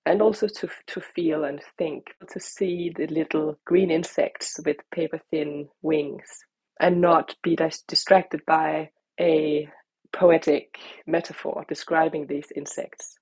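A Danish-born woman author, answering interview questions thoughtfully and pausing to search for words. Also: low-pitched, fairly even intonation, darkish tone, breathy edge, no room sound, low-quality recording.

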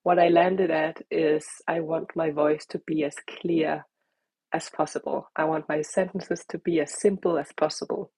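A Danish-born woman author, answering interview questions thoughtfully and pausing to search for words. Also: low voice, steady tone, warm tone, husky, no room sound, poor audio.

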